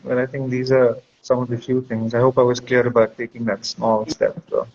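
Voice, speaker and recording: Man, mid-pitched voice, reflective counselor, conversational advice, muffled audio with noise. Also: little pitch movement, brisk, darkish tone. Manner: reflective, explanatory, checks with "right?". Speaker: guest speaker, school counselor. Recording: dull lo-fi audio with clear background noise.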